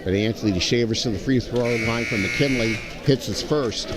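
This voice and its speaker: A man's voice: mid-range voice, moderate pace, heavy background noise. A man giving color commentary and analysis on a live basketball game. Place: indoor high school gymnasium. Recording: loud gym crowd behind. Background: crowd, bouncing basketballs.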